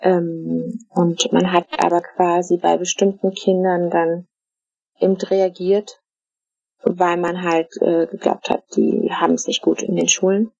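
German woman chatting in an interview, dark gravelly voice, lots of ums, muffled dry sound. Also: fairly low pitch, little pitch movement, no echo. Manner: thoughtful, with many fillers and pauses. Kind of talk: casual interview answers. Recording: dull, dry lo-fi recording.

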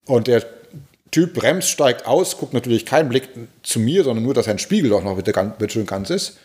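A man in his forties from Germany, a pastor, thoughtfully telling personal stories, halting with many restarts and repeated words. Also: medium pitch, expressive, a little mellow. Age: 40s. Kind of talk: reflective personal storytelling.

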